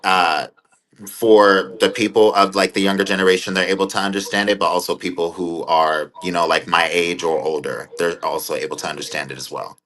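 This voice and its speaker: A man's voice: deep voice, steady tone, brisk, a bit bright, rough recording. A man in his twenties talking casually as an interview guest, rambling and thoughtful with many fillers. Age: 20s. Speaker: guest content creator.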